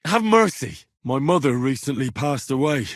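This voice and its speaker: A man's voice: mid-range voice, sing-song, normal speed, no room sound, clean studio sound, lightly acted. A man voicing a stubborn, cheeky young medieval man in a video game, with blunt, earthy, conversational delivery. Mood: pleading. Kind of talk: video game character dialogue.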